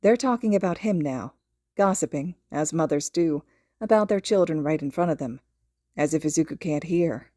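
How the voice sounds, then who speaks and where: Low, mellow woman reading a story. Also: lively intonation, normal speed, dry recording, clean studio sound. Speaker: podfic reader and host. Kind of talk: scripted story narration.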